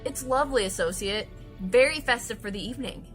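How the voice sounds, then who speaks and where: A woman's voice: a little high, fairly quick, a bit bright, faint music behind, lightly acted. A woman playing an eager, forthright young female adventuring companion in an audio comedy, insistent and outspoken. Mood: cheerful, brisk.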